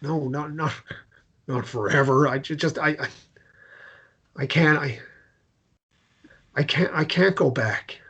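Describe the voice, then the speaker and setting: A man performs a warm-hearted man in a gentle romance drama. His voice sits high for a man, and he speaks with open, slightly halting sincerity. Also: breathy edge, muffled recording, heavily acted. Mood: halting, vulnerable. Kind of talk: table read of a romance drama.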